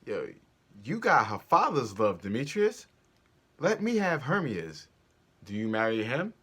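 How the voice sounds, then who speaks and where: A man's voice: medium pitch, huge pitch swings, measured pace, a bit bright, husky, exaggerated voice. A man playing an ardent, cheeky young lover, wooing dramatically in a Shakespearean stage reading. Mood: mocking.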